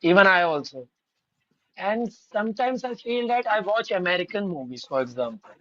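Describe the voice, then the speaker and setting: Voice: medium pitch, lively intonation, moderate pace, a bit bright, dry recording. Polite podcast guest with an Indian accent, rambly, says "ma'am" often, on a lo-fi mic. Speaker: podcast guest, English learner. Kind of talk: casual conversational podcast.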